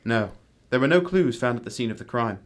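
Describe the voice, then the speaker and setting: A man playing a composed, courteous, analytical gentleman detective with a formal, measured manner in an amateur radio drama. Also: medium pitch, animated, lightly acted.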